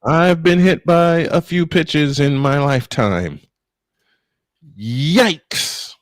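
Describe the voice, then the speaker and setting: A man's voice: fairly high pitch, animated, extremely slow, dry recording, studio quality. A man calling baseball play-by-play live, mixing it with casual chat in a folksy, exclamatory way.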